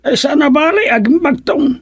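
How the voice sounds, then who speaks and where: An actor with an Ilocano accent playing an excitable, bossy older father-in-law in a comedy radio drama, calling out orders. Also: extremely high pitch, normal speed. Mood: animated.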